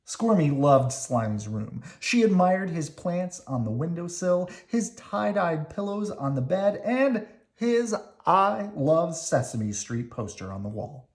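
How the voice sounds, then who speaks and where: A woman reading a picture book aloud as an expressive narrator for children. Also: lowish voice, slow. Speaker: storybook reader.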